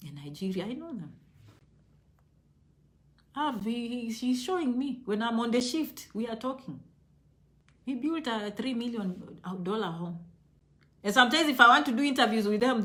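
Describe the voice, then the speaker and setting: A woman's voice: on the high side, fairly bright tone. A woman with a Kenyan accent talking casually to her online viewers in an emphatic, motivational way, repeating phrases for stress. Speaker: online video host.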